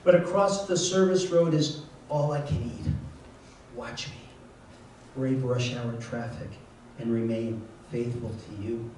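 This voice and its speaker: An older man reading his poems aloud in a measured, wry way, with casual asides between them. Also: mid-range voice, fairly even intonation, a little mellow, slight background noise. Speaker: poet reading his work. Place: lecture room at a reading series. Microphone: handheld mic on a stand, close. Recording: echoey room, mic at a distance.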